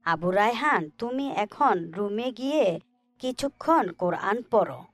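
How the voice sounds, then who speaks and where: Concerned, loving mother voiced by a woman for moral cartoon, mid-pitched, slow pace, dry sound. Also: animated, no room sound, lightly acted. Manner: affectionate, instructive. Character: caring, pious mother, patient but concerned. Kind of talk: Islamic moral cartoon dialogue.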